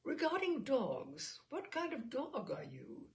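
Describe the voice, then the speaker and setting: Formal, creaky-voiced courtroom examiner read by a woman. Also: high voice, wildly swooping pitch, takes it slowly, darkish tone, voice pushed up, full character voice. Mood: brisk, probing. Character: formal, methodical examiner questioning an expert witness.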